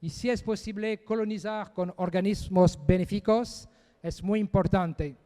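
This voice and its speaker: A man giving a technical conference presentation, speaking haltingly as he searches for words in a second language. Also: high-pitched, fairly even intonation, warm tone, big-room sound.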